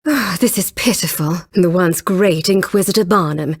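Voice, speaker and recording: A woman's voice: on the low side, normal speed, slightly rough. An actress playing a haughty, vengeful young woman inquisitor in a dramatic video game, with an imperious, theatrical delivery. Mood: haughty, scornful.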